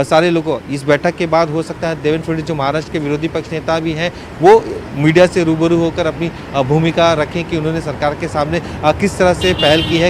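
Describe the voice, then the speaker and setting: A man giving a live, unscripted news report from location, in a halting way with self-corrections. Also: on the high side. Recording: faint outdoor street and traffic noise.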